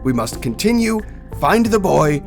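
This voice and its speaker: A man voicing a grim, urgent dark elf ranger, forceful and theatrical, in a fantasy dramatic reading. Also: fairly high pitch, very animated, unhurried, creaky, over music, voice pushed up, exaggerated voice. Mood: grim urgency.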